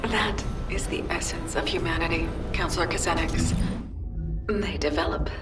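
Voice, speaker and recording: An actress playing a shrewd female researcher in a game, speaking in a measured, probing way. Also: lowish voice, reverberant, natural voice. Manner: measured and probing, with lively inflection. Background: game music.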